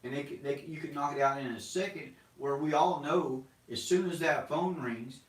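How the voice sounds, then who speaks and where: A man in his forties hosting a casual, energetic sales talk to camera, rambling with restarts. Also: on the high side, normal speed, roomy sound, distant mic, poor audio. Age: 40s. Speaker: host. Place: small studio room with banners and props.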